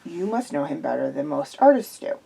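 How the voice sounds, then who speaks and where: A woman voicing a soothing middle-aged man, an art expert, in a measured tone. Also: low-pitched, big pitch swings, creaky, dark tone, husky, pitched below the performer's own voice, full character voice.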